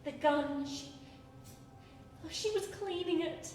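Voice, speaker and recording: A woman's voice: very high-pitched, slow, a little mellow, soft background music. An actress playing a shaken, defensive young woman in a live radio play mystery. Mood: shaken, pleading. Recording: far echoey stage with hum.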